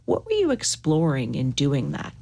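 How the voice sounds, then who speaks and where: A woman hosting a literary interview, putting questions in a composed, deliberate way. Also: low-pitched, huge pitch swings, dark tone. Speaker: interview host.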